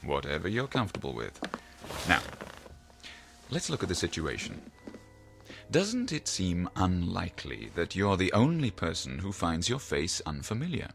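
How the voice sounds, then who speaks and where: An actor playing a learned, probing psychiatrist in an audio drama, speaking in a deliberate, formal, professorial way. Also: male voice, deep voice, wildly swooping pitch, creaky, fairly bright tone, faint music behind, heavily acted. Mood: probing, reasoning.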